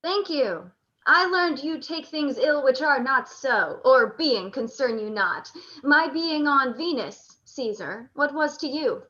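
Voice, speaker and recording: A proud soldier-statesman played by a woman in a Shakespearean radio play, pitched very high with a bright, forceful edge. The delivery is formal and declamatory, and the sound is slightly dull and band-limited. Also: lively intonation, slow pace, heavily acted. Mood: defensive, firm. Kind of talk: live radio play acting in Shakespearean verse.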